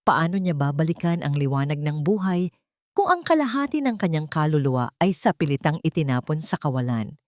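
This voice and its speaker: A woman's voice: deep voice, very animated, fairly quick, dry recording, studio quality. A woman narrating a scripted dramatic story in a solemn, melodramatic way.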